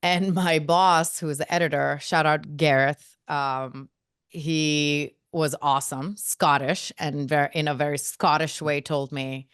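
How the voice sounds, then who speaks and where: Low, smooth-voiced woman from India. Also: measured pace, dry recording, clean studio sound. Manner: lively, self-mocking, quotes people in voices. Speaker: guest, marketing agency co-founder. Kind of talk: casual storytelling interview.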